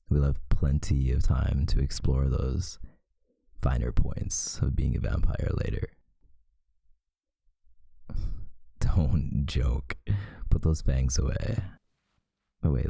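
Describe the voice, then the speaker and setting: A man voicing a possessive yet tender male vampire lover in an intimate, hushed ASMR roleplay, often slipping into a whisper. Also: no echo, clean studio sound, heavily acted. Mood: teasing, firm. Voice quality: very deep, breathy, gravelly, often whispering. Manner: slow, hushed, soothing, seductive.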